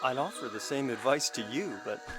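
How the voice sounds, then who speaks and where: A man's voice: mid-range voice, expressive, a little fast, close to an everyday voice. An actor playing an unhinged male survivor of a jungle expedition, erratic and rambling, in a video game cutscene. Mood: ominous, mocking. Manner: erratic, muttering and bursting out, cackling. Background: music.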